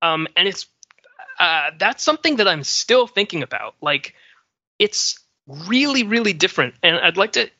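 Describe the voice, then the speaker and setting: Male panelist, mid-pitched and sharp, casually analytical and tentative, recorded very dry. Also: big pitch swings, normal speed, no room sound, lo-fi sound. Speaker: podcast panelist.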